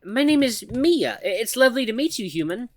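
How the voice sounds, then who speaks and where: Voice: mid-range voice, very animated, fairly quick, no echo, voice pushed up, lightly acted. A game reader voicing a nervous young mouse woman who stammers and apologizes.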